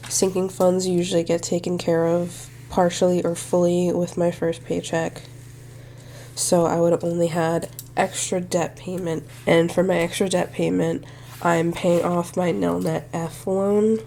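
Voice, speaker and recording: A young woman walks through her budget in a friendly, think-aloud way, her voice a little nasal from congestion. She speaks in a low, mellow tone with very little rise and fall. A faint hiss sits under the recording. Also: flat delivery, measured pace, gravelly. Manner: friendly, thinks aloud while working numbers. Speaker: budgeting channel host. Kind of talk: casual budgeting walkthrough to camera.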